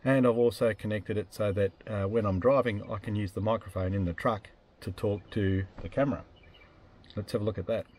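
A man's voice: on the low side, steady tone, fairly quick, darkish tone, a little hiss or hum. A man in his fifties with an Australian accent, explaining things casually and matter-of-factly in a vlog, with some hesitation. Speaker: off-road camping vlogger. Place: inside a parked truck cab in the bush.

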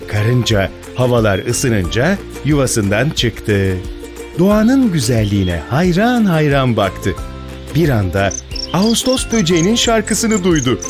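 Man, children's fairy tale narrator, animated intonation, medium pitch, scripted storytelling, clear background music.

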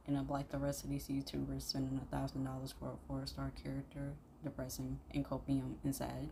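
Low-pitched young woman, slangy and opinionated. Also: darkish tone, slight background noise. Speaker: YouTube reaction host. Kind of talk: casual reaction commentary.

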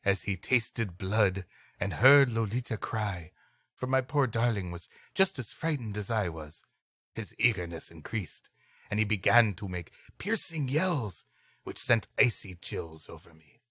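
A man's voice: lowish voice, animated, measured pace, fairly bright tone, breathy edge, no room sound, studio quality. A man co-hosting a comedy podcast, reading a story aloud and playfully breaking off to riff. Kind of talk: story reading broken up by comic banter.

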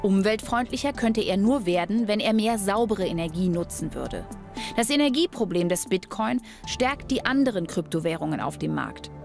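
A woman's voice: mid-range voice, animated, normal speed, gravelly, darkish tone, soft background music. A woman reading scripted narration for a documentary.

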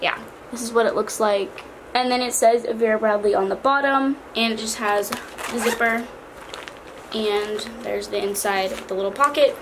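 Teen girl vlogger, slightly hoarse, higher voice, chatty; bedroom with faint fan hum. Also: steady tone, normal speed. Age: teens. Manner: chatty, bubbly, lots of "like". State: slightly hoarse from cheer camp. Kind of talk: casual backpack vlog. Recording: faint fan noise behind.

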